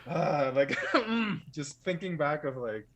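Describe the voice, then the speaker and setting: A man's voice: fairly high pitch, slow pace, dull audio. A man hosting a film podcast in casual conversation, joking and self-deprecating as he rambles through his thoughts. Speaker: podcast host.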